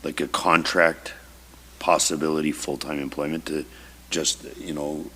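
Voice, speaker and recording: Super low voiced guy, deputy mayor, lots of um and you know, bright edge, faint room hum. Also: level tone, a little slow, breathy edge. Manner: searching, loosely phrased, many fillers. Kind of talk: council debate. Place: council chamber.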